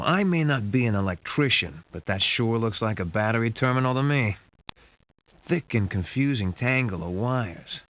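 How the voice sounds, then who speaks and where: Low-voiced, dry hard-boiled detective, muffled. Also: male voice, fairly bright tone, heavily acted. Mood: wry, musing. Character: wry hard-boiled male detective narrating a sci-fi adventure game. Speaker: game narrator and lead character. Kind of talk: scripted noir game narration. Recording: muffled lo-fi game audio with hiss.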